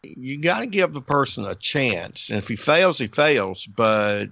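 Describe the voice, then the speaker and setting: An American man giving conversational commentary as a podcast panelist, choosing his words in a measured way. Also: medium pitch, big pitch swings, vocal fry, sharp tone, dry recording.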